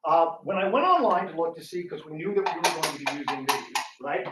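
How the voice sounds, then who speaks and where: Man with mid-to-high voice, echoey room. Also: lively intonation, fast talker. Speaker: radio theatre actor and panel speaker. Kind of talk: casual chat to an audience. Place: indoor talk in a roomy space. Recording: roomy, distant, noisy live room recording.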